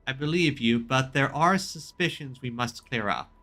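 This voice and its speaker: A man's voice: mid-range voice, animated, normal speed, bright, forceful tone. A man doing casual video game commentary as a streamer, chatting and reading lines aloud.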